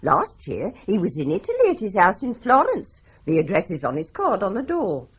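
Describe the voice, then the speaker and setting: A talkative older landlady who runs on with stern advice. Her voice is breathy and swoops up and down in pitch. The broadcast recording sounds dull and lo-fi. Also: moderate pace, exaggerated voice. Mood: chatty. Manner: chatty, lively, runs on with advice. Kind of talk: radio drama acting.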